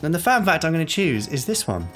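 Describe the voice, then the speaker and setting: A man's voice: on the high side, very animated, quick pace, a bit bright, soft background music. A British man co-hosting a podcast in a casual, conversational style. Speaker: podcast co-host.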